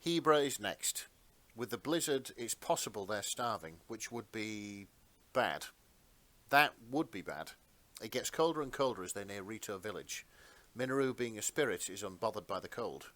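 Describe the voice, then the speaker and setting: A man narrating fan fiction in a dramatic storybook style with theatrical, deliberate phrasing. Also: lowish voice, animated, fairly bright tone.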